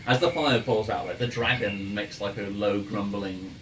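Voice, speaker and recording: A man acting as game master, casually narrating a tabletop game in a halting way with repeated phrases. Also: lowish voice, steady tone, a bit bright, echoey room. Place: indoor room, in-person game session. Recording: distant mic, clear background noise and ambience.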